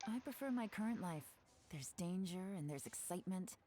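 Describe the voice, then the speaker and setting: An actress voicing a confident, secretive female spy in a video game, smooth and self-assured, a little playful. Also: on the low side, animated, moderate pace, dark tone, a little hiss or hum, lightly acted. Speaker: game character.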